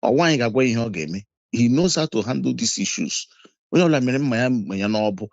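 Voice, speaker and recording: A man's voice: medium pitch, expressive, fast, gravelly, dry recording, dull audio. A man calling in to a talk show, switching back and forth between Igbo and English. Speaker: caller.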